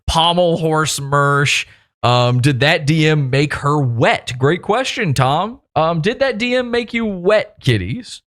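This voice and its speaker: A man's voice: on the high side, animated, slow pace, a bit bright. A man hosting a podcast interview, sarcastic and mocking as he reads out comments and messages. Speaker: podcast host.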